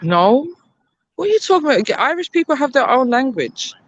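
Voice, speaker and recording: A woman's voice: high voice, sing-song, fairly quick, dull audio. A woman on a casual online panel, dryly teasing another guest.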